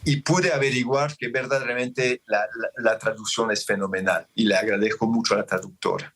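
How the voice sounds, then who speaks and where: A man's voice: lowish voice, steady tone, a bit bright. A man whose first language is French, speaking Spanish with a French accent, explaining his book at length as a guest on a remote link. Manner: explanatory, measured, with self-corrections. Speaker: author guest. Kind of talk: interview over a remote link.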